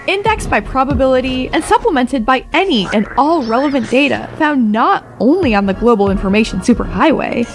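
A woman narrating an audio drama in a wry, wordy, mock-technical way with precise delivery. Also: high-pitched. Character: wry female narrator describing an android in technical detail. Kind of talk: scripted narration.

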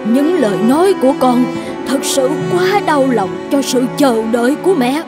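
A woman's voice: high voice, lively intonation, moderate pace. A woman playing a scheming, snobbish middle-aged mother in a cartoon drama, speaking in an emphatic, admonishing way. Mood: hurt, reproachful. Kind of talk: dubbed cartoon drama acting. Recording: long reverb, music underneath.

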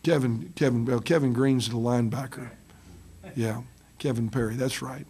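A middle-aged man, a basketball head coach, answering reporters after a loss, halting with many ums and restarts, speaking into a table microphone. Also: mid-range voice, fairly even intonation, a little mellow, slight background noise. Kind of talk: post-game press conference. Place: press room with curtain backdrop. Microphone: table mic on stand.

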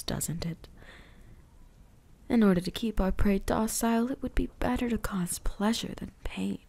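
An ancient vampire huntress acted by a woman murmurs to her prey in an ASMR roleplay. Her voice is soft and dark, with breathy whispers and a creaky edge. She speaks very slowly, seductive, possessive and quietly menacing. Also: medium pitch. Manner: slow, teasing, menacing. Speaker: voice actress.

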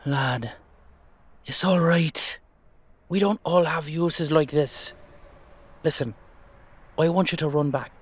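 A man's voice: a little high, slow, pauses often, breathy edge. A man playing a protective, brotherly dullahan with an Irish accent, reassuring and colloquial in an audio drama. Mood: protective, affectionate.

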